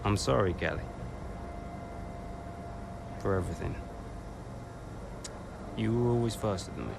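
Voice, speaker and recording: A man's voice: fairly low pitch, a little slow, vocal fry, background noise. A man playing a young racing driver in a game cutscene, contrite yet cheekily proud. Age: young adult. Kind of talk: dramatic video game cutscene acting.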